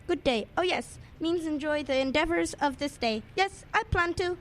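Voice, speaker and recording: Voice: high-pitched, normal speed, a bit bright, a little hiss or hum. An actor playing a strange otherworldly male visitor with a mechanical voice and stilted, formal, courteous speech in a radio drama. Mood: curious, polite. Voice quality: unnatural, mechanical-sounding voice. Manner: stilted, literal, formal phrasing.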